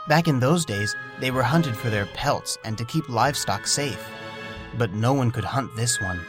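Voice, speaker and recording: A man narrating a scripted story in a gentle, wondering way. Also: medium pitch.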